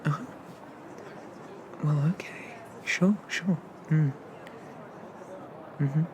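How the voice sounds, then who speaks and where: A performer playing a friendly, bashful monster barista in a soft, hesitant, gently chatty whispered ASMR roleplay. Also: mid-range voice, very slow, noisy background. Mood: kind, helpful. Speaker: coffee shop barista.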